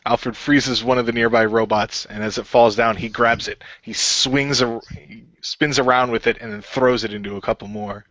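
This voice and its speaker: A man improvising narration for an action radio play in a casual, halting manner. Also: mid-range voice, fairly even intonation, fairly bright tone, dry recording, highs cut off.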